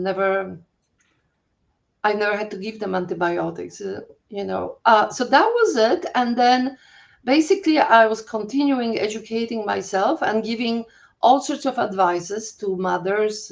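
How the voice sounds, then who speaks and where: Slow-speaking older Polish-accented woman. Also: mid-range voice, dull audio. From Poland. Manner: halting, searching for words, many restarts. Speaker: guest, holistic health coach. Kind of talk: personal storytelling in an interview.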